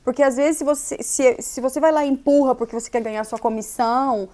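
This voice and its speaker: A woman hosts a relaxed interview, keeping the talk moving with tag questions. Her voice is fairly high for a woman. She chats in a friendly, conversational way. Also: fast. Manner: chatty, often adds tag questions. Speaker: interviewer, magazine host. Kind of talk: casual interview chat.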